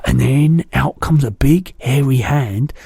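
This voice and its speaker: A man reading aloud and voicing a big, kindly male giant who mangles his words, in a louder and higher voice than his own. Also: slow, creaky, breathy edge, no echo, clean studio sound, exaggerated voice. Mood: earnest. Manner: jumbled made-up words, booming and eager.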